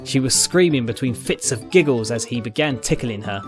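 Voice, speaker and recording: A man's voice: medium pitch, animated, normal speed, music in the background. A man reading fiction aloud as narrator, in scripted dramatic storytelling style. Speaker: narrator, fan fiction reader.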